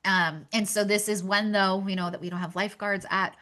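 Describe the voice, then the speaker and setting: A woman's voice: mid-range voice, little pitch movement, brisk, a bit bright. A young woman from Pennsylvania presenting shark research in a webinar, earnest and stumbling on word restarts. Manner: earnest, frequent stammered restarts.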